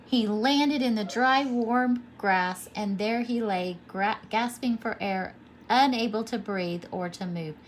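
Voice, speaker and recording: A woman's voice: a little high, slow pace, slight background noise. A woman pre-K teacher reading a storybook aloud to young children, expressively and with simple explanations.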